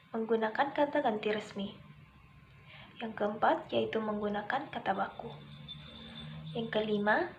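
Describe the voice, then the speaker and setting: Young female student presenter, formal recited delivery, slightly high pitch, muffled recording with faint noise. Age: young adult. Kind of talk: scripted lesson narration, read aloud.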